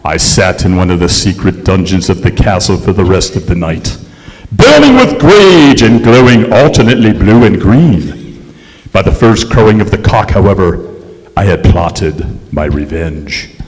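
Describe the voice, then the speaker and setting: An actor playing a vain, indignant centuries-old ghost, narrating in a pompous, melodramatic old-time radio style. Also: male voice, deep voice, big pitch swings, measured pace. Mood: wounded, seething, then vengeful, grandiose. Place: live stage in a courthouse museum. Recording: echoey hall stage recording.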